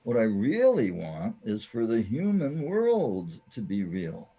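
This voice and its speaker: A man's voice: on the low side, huge pitch swings, slow, warm tone, slightly rough, exaggerated voice. A man playing a lonely, troubled, questioning hero in a radio play, sounding plaintive. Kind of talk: amateur radio play acting.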